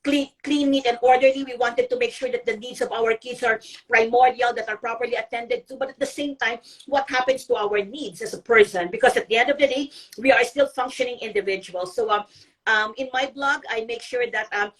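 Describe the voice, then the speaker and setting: Woman from the Philippines, middle-aged, earnest, hesitant, slightly high pitch, distant call audio. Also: fairly even intonation, a little fast. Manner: earnest, many ums and restarts. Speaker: guest blogger. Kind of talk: live video interview. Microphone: video call.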